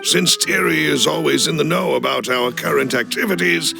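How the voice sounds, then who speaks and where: A man playing a loyal, cautious butler in a video game cutscene, speaking in a formal, measured, deferential way with a gravelly voice. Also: on the high side. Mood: measured, analytical. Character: loyal, cautious male butler of a crime boss family. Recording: clean studio voice over music. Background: background music.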